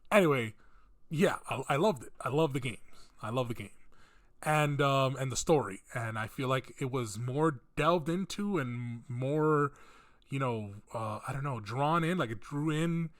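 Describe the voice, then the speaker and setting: A man's voice: mid-range voice, measured pace. Rambling man hosting a casual rant. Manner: rambling, conversational, thinking aloud, emphatic. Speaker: video host giving opinions. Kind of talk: casual rant commentary on video game films.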